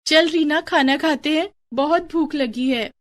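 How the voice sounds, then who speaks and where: A woman as boastful rich schoolgirl, very high pitch, bubbly, storybook dialogue, dry recording. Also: unhurried, dry recording. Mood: excited. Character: boastful, excitable well-off schoolgirl classmate.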